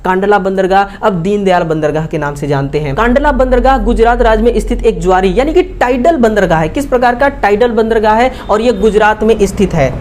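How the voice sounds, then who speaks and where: A woman with an Indian accent teaching exam questions, repeating key facts as quick rhetorical questions with frequent checking tags. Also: mid-range voice, a little mellow, a little hiss or hum. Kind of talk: exam question analysis lesson.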